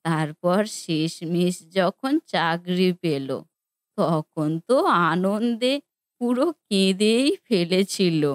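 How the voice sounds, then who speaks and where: A woman's voice: fairly low pitch, no echo, clean studio sound. A woman playing a doting elderly widowed mother in a cartoon family drama, speaking in a reflective, motherly way.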